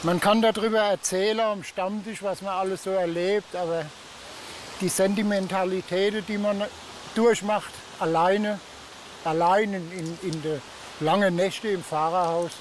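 A man's voice: high voice, steady tone, unhurried. An older man, a long-haul truck driver, talking hesitantly and sentimentally on a beach, often trailing off. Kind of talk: halting, emotional interview answers. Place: outdoors on a beach. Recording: outdoor beach with noise behind.

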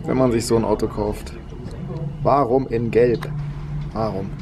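A young man talks casually into a camera held at arm's length. He rambles and pokes fun at himself, and his voice carries a slight cold. A steady noise runs under the recording. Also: lowish voice, measured pace. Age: 20s. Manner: chatty, self-mocking, rambling asides. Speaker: vlogger. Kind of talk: casual weekly vlog chat. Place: home kitchen and inside a car. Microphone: handheld camera at arm's length.

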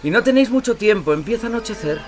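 An actor playing a noble, defiant and gallant outlaw leader in a Spanish audio drama, commanding and courtly. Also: male voice, sits high, lively intonation, racing. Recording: dramatic music behind the voice.

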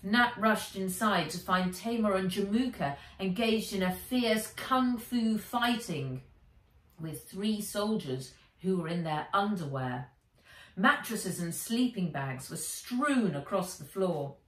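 A middle-aged woman reading an adventure story for children aloud, with expressive, dramatic, emphatic delivery. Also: medium pitch, takes it slowly, recorded from a distance. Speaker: author reading her own book aloud. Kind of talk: dramatic audiobook-style narration for children. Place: indoors, bright room with armchair. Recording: roomy, echoey, away from mic.

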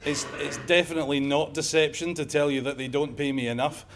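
A middle-aged Scottish man lecturing at a conference in a fairly high voice. He talks in a wry, conversational way and slips jokes into his points. A lapel mic picks him up in a lecture room. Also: fairly even intonation, fairly quick, background noise. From Scotland. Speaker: emergency medicine professor giving a lecture. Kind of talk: conference talk.

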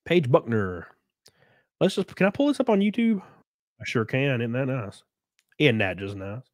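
A man in his thirties hosting a casual live stream from a small home room, close on a boom mic, joking crudely and sounding congested from a cold. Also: very animated, normal speed, no room sound. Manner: crude, joking, laughs often. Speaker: livestream host. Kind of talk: casual live-stream chat reading donations. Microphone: studio mic on boom arm, close.

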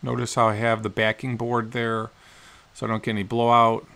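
Gravelly-voiced man explaining guitar building. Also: medium pitch, monotone, a little fast, pushed and bright. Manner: matter-of-fact, explaining each step. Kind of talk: workshop how-to narration. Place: cluttered workshop indoors.

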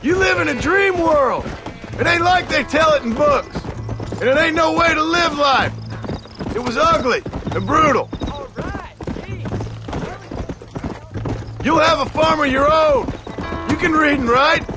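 An actor with an American accent playing a stern, gruff cowboy father in a western video game. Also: extremely high pitch, moderate pace. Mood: earnest, firm. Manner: gruff, scolding. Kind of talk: dramatic video game acting. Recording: game audio with background music.

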